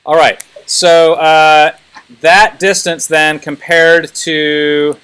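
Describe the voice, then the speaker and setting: A man's voice: a little high, level tone, very slow, a bit bright, smooth, rough recording. A man teaching a class informally, thinking aloud and prodding students with questions.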